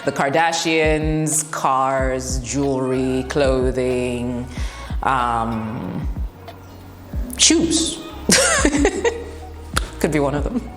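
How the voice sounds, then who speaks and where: A young woman with a very deep, commanding voice speaks frankly, laughing between answers. The room is echoey, with a long reverb tail and faint music behind her. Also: big pitch swings, extremely slow, long pauses. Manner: blunt, laughs often. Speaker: broadcast journalist and TV presenter. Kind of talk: interview answers, relaxed and frank.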